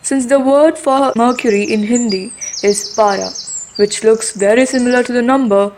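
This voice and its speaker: A woman's voice: a little high, normal speed, darkish tone, a little hiss or hum, lightly acted. A woman playing an earnest, proud Indian male inventor in a school puppet show, explaining things like a storyteller.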